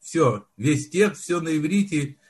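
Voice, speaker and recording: An older man with a husky, breathy voice that sits high for a man. He reminisces at an easy pace, often stopping and restarting mid-word as he recalls details. It sounds like a relaxed conversational interview. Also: a little slow. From Soviet Union. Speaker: film director, interview guest. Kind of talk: unhurried storytelling in conversation.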